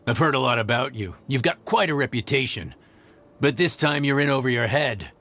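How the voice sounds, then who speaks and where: A man's voice: mid-range voice, animated, a little fast, pushed and bright. An actor playing a cocky, threatening male mercenary in a video game, taunting and smug in manner. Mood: smug, menacing. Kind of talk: scripted video game dialogue.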